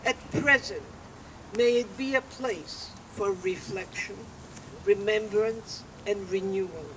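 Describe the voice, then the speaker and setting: Older woman, ceremonial speaker, unhurried and solemn, clear background noise on a distant outdoor mic. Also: medium pitch, a bit bright. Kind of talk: prepared dedication speech.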